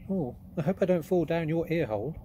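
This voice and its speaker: A man's voice: fairly high pitch, animated, a little fast, background noise, voice pushed up, natural voice. A man voicing a small, polite, earnest young girl in a storybook reading.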